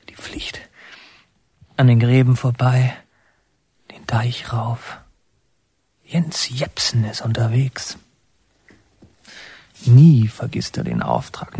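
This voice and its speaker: A young inmate lost in memory in a radio drama. The voice is dark and breathy, often sinking toward a whisper, and moves slowly in halting, musing fragments. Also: male voice, mid-range voice, no echo. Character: brooding young male inmate remembering his father. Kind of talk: introspective radio drama monologue.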